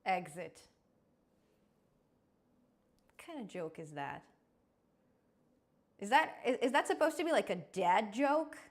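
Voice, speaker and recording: A young adult woman hosting a live coding stream, chatty and quick to laugh, often restarting her words. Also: medium pitch, very animated. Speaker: programming channel host.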